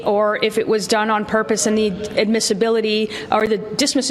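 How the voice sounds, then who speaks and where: A woman's voice: a little high, long reverb tail, a little hiss or hum. A woman, a politician insistently listing questions at a press statement.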